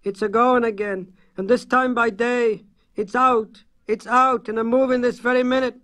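High, panicked New England rustic. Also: male voice, steady tone, moderate pace, a bit bright. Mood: panicked, despairing. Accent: rural New England. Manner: choked, panicky, wailing. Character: terrified rural New England man, sobbing warning.

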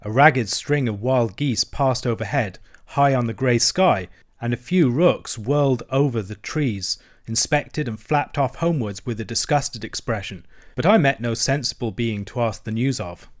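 A man's voice: medium pitch, normal speed, close to an everyday voice. A man as a hearty, adventurous storybook otter. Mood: cheerful, animated. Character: cheerful, hearty, adventurous male otter telling his tale.

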